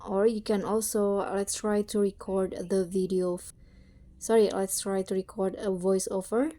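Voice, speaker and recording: Female tutorial host, mellow tone, slow and deliberate, casual step-by-step narration with a halting flow. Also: medium pitch, level tone.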